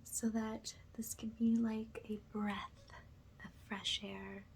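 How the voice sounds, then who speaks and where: Softly whispering ASMR woman, unhurried and even. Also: fairly high pitch, vocal fry, a little hiss or hum, poor audio. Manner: soft-spoken, often drops into a whisper. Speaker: ASMR energy healer, host. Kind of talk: ASMR, guided spiritual cleansing.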